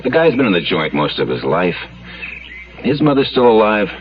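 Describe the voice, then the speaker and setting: An actor playing a wry, hard-bitten police homicide lieutenant in a vintage radio crime drama, dry, sardonic and clipped. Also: male voice, on the low side, big pitch swings, normal speed, slight background noise. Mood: wry, businesslike. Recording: dull old radio recording with music behind.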